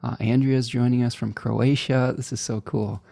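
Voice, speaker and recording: A man's voice: medium pitch, flat delivery, moderate pace, creaky, dark tone, no room sound. A man hosting a live interview show, upbeat and chatty, with many fillers. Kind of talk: casual interview talk.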